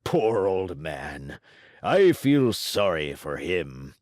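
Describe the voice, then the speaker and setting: A man doing Fire Eater, a hoarse gruff puppet master. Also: low voice, big pitch swings, very slow, darkish tone, no room sound, clean studio sound, voice pushed down, exaggerated voice. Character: gruff bearded male puppet-show director, blustering.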